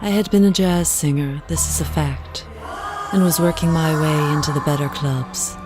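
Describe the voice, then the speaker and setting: Low-voiced composed young widow played by an actress. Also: female voice, fairly even intonation, moderate pace, lightly acted. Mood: composed, confiding. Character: composed young widow, former jazz singer, telling her story. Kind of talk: audio drama first-person narration. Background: music.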